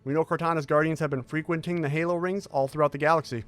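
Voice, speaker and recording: A man playing a tall, secretive military scientist who speaks in a formal, measured briefing manner in an audio drama. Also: a little high, fairly even intonation, a bit bright, faint music behind, lightly acted.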